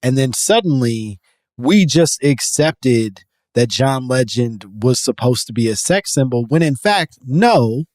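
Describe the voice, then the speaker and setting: A man's voice: medium pitch, expressive, unhurried, a little mellow, no echo. Man hosting, profane joking chat, dry sound. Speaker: podcast host. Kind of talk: casual comedic chat.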